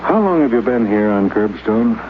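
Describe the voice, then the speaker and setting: An actor playing a middle-aged station chief who narrates, speaking in a dry, measured, gruffly kind way in 1950s radio drama style. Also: male voice, lowish voice, animated, a little hiss or hum, close to an everyday voice. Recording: tinny old radio broadcast.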